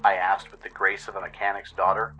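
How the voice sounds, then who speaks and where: A man's voice: sits low, flat delivery, brisk, sharp tone, lo-fi sound, soft background music. A man reading scripted first-person story narration for a radio play.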